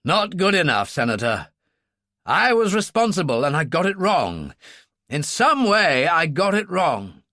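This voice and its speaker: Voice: medium pitch, wildly swooping pitch, a little slow, few pauses, pushed and bright, dry recording, clean studio sound, exaggerated voice. A narrator voicing a stern, self-critical male security chief in an audiobook thriller. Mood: self-reproach.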